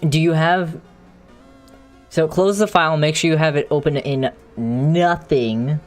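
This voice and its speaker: A streamer thinking aloud in a halting, casual way while doing live coding commentary, with an unclear, mid-range voice. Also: medium pitch. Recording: music playing behind the voice. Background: music.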